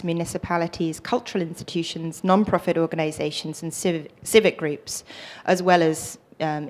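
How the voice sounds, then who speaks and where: A woman hosting a talk event, reading the speaker's introduction with a few fillers. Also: fairly low pitch. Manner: reads the introduction with occasional fillers. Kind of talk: introductory remarks. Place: lecture hall.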